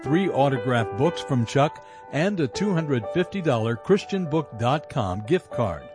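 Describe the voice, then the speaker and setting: A man's voice: mid-range voice, very animated, a little slow. A man reading a scripted radio promotion as an announcer. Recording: music under the voice. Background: music bed.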